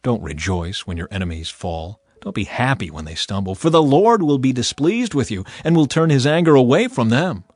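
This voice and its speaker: A man narrating a dramatized audio Bible in an expressive, measured, solemn and exhortative way. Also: mid-range voice, no room sound. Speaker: scripture narrator. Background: background music.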